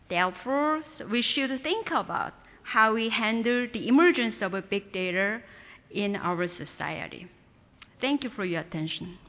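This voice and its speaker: A woman's voice: mid-range voice, expressive, unhurried, fairly bright tone, a little hiss or hum. A woman giving a prepared, formal conference presentation as a professor. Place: large conference hall. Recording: echoey hall with long reverb.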